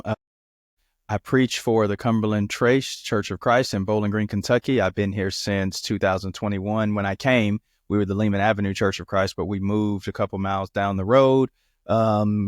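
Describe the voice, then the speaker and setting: Flat-voiced preacher telling his story. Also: lowish voice, no room sound, clean studio sound. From Florida. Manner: relaxed storytelling, repeats himself. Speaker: preacher, guest. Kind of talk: casual interview over video call.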